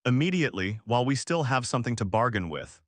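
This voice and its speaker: A man's voice: medium pitch, very animated, fast talker, no room sound, studio quality. A man reading as a grim, blunt alien admiral in a science fiction story, with formal, clipped military speech. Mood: blunt, urgent.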